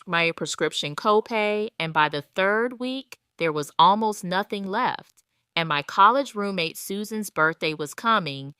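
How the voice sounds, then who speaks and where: Slow, very clear woman narrator. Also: lowish voice, bright, forceful tone, no room sound, studio quality. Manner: measured, deliberate storytelling. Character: wronged older retired wife telling her story. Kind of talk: scripted first-person audio drama narration.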